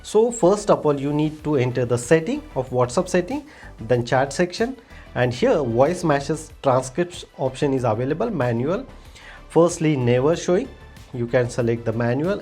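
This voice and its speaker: A woman's voice: low-pitched, darkish tone. A woman with an Indian accent hosting a tech tutorial, explaining steps in simple phrases with stressed openers. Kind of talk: step-by-step screen demonstration narration.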